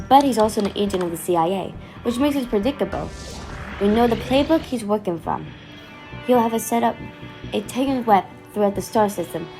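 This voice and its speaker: A woman's voice: mid-range voice, lightly acted. A woman playing a no-nonsense, impatient time-travelling commander in an audio drama, curt and businesslike as she explains.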